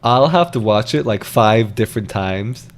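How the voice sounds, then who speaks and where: A young man, co-hosting a casual movie review in a jokey, excitable way with plenty of rhetorical questions. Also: lowish voice, normal speed. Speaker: film review co-host. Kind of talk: casual unscripted movie chat.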